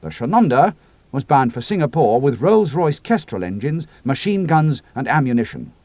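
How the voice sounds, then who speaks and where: A man reading the part of a calm, decisive squadron leader in a spoken story, in a crisp, assured, slightly clipped way. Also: medium pitch, moderate pace, no room sound, pitched above the performer's own voice, close to an everyday voice. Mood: grave.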